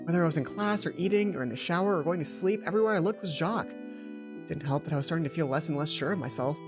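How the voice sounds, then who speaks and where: A woman's voice: deep voice, fast, pitched below the performer's own voice, natural voice. A woman voicing a lovesick, self-doubting schoolgirl heroine in a game, telling her story in a dramatic, introspective way over music. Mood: wistful, troubled. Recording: music behind the voice.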